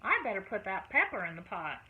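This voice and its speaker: A woman's voice: mid-range voice, sing-song, fairly quick, voice pushed down, lightly acted. A woman voicing a hardworking country father who hollers back from the woodpile. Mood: matter-of-fact. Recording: tinny phone-like audio, faint noise.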